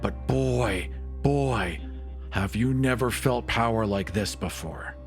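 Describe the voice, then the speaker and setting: Male game master, mid-pitched, dramatic narration, emphatic and vivid, music underneath. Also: takes it slowly. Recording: music bed behind the voice.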